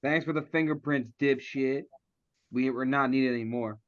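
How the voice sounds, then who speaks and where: A man's voice: mid-range voice, steady tone, normal speed, close to an everyday voice. A man acting a foul-mouthed, sentimental schemer in a comedy table read, over a dull online connection. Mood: smug.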